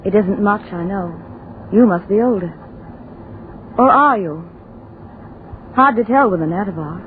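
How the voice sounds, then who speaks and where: A woman's voice: mid-range voice, expressive, measured pace, natural voice. An actress playing an intense, insistent young rebel woman of the future in a 1940s radio drama. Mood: bitter, brooding. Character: four-hundred-year-old embittered, fanatical young rebel woman of the future. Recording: muffled, noisy old radio recording.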